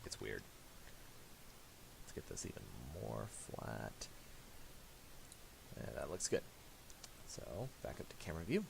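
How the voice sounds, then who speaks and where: A man giving a casual, unscripted software tutorial, halting as he thinks aloud while he works. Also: very deep voice, huge pitch swings, creaky. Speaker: tutorial host. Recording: hissy home recording with mouse clicks.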